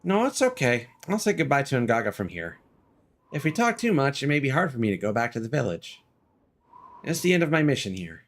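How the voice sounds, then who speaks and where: A man's voice: medium pitch, very animated, fairly quick, voice pushed up. A man voicing a chirpy, chatty, apologetic moon villager while reading fantasy game dialogue aloud. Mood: gentle, reassuring.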